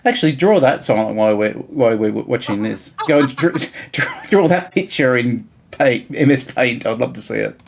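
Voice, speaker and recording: A man with a creaky, gravelly voice at an ordinary male pitch. He hosts in a loose, conversational way, teasing and mocking as he goes. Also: lively intonation, moderate pace. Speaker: livestream host. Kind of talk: casual teasing banter.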